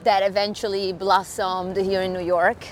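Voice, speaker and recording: A woman's voice: medium pitch, little pitch movement, normal speed, a little hiss or hum. Earnest Italian-accented woman outdoors. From Italy. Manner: enthusiastic, earnest. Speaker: podcast guest. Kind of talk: casual conversation while walking. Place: outdoors in a large city park.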